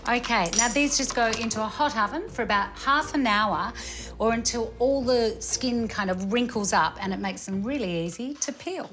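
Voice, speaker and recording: A woman hosting a cooking show, presenting in an enthusiastic, informal and chatty way. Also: a little high. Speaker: host, cook. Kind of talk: upbeat cooking show presenting and casual chat. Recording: background music under the voice.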